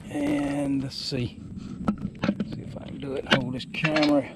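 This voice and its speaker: A man's voice: fairly high pitch, little pitch movement, a little slow, very noisy. A man in his forties with a Southern US accent, casually showing off a rebuilt trailer outdoors on a farm, rambling and restarting his sentences as he goes, recorded on a handheld action camera. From Alabama. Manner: folksy, rambling, stops and restarts mid-sentence. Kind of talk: casual walkaround demonstration. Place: outdoors on a rural farm lawn. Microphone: action camera held or worn, sometimes set down.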